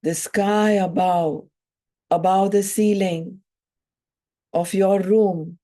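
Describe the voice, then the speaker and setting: Slow, mellow woman guiding meditation. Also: medium pitch, steady tone. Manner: soothing, measured phrases with long pauses. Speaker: yoga and breathwork teacher. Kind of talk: guided meditation instruction.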